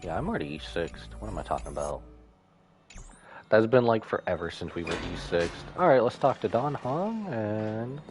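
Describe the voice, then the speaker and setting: A man's voice: fairly low pitch, big pitch swings, normal speed, darkish tone. A man livestreaming a game, casually thinking aloud as he comments. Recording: game music behind the voice. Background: game music.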